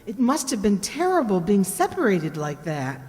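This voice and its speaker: A woman playing a private detective's sympathetic assistant in a radio drama, colloquial, expressive and solicitous. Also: mid-range voice, normal speed. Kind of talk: old-time radio drama acting. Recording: roomy hall with long reverb.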